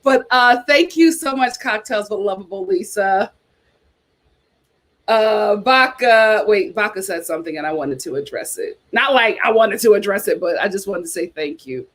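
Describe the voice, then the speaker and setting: A woman's voice: mid-range voice, expressive, moderate pace. A young woman hosting a casual livestream, chatting and reading viewer comments, repeating herself as she talks. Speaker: YouTube host.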